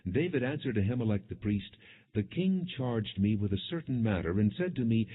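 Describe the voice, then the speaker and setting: A low-pitched, somewhat husky male narrator reads scripture as a scripted story. His delivery is measured, with expression given to quoted dialogue. The audio is narrow and phone-like.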